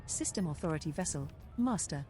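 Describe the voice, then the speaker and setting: A woman playing a flirtatious spaceship copilot computer, giving measured, teasing readouts in a game voice pack. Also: deep voice, animated, over music. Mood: businesslike.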